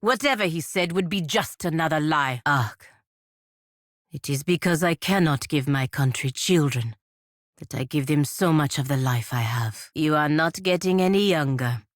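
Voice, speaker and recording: A woman's voice: low-pitched, normal speed, no echo, studio quality, lightly acted. A woman playing a proud, impatient godlike warrior in a video game, with a sardonic, cutting manner. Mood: dry, curt. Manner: disdainful, sardonic, clipped and cutting. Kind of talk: video game character dialogue.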